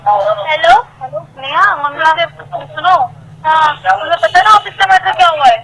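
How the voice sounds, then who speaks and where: A woman's voice: very high-pitched, fairly even intonation, normal speed, noisy background. A woman talking informally on a recorded phone call, hesitant and repeating herself as she explains. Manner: hesitant, repetitive, evasive. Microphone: telephone.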